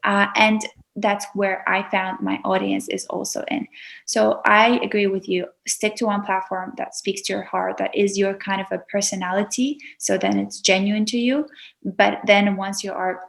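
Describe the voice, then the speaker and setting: A woman's voice: medium pitch, level tone, normal speed, vocal fry. A woman from Azerbaijan with an Azerbaijani accent, speaking earnestly as a guest on a podcast interview over a video call. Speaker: guest YouTuber.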